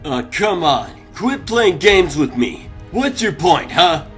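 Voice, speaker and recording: A man voicing a cocky young mouse athlete in a cartoon comic dub, slangy, defiant and excitable. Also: on the high side, slow pace, faint music behind, full character voice. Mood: indignant.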